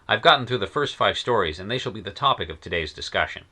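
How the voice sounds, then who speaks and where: A man's voice: on the low side, animated, fast talker, pushed and bright. A man narrating a scripted review of old science fiction stories, wry and enthusiastic with sardonic asides. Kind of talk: scripted narration in a period persona.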